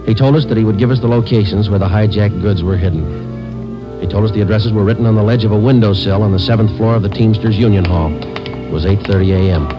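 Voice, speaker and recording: A man's voice: fairly low pitch, fast talker, lightly acted. An actor playing a stoic American police sergeant who narrates his case tersely in a 1950s radio crime drama. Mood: deadpan, grave. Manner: terse, matter-of-fact, deadpan. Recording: dull vintage radio recording, loud music.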